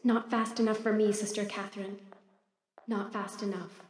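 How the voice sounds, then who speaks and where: A woman's voice: medium pitch, flat delivery, normal speed, echoey room, heavy background noise, lightly acted. An actress playing a proud, bookish young noblewoman in an audio drama, earnest and indignant in manner. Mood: wistful. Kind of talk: full-cast audio drama acting.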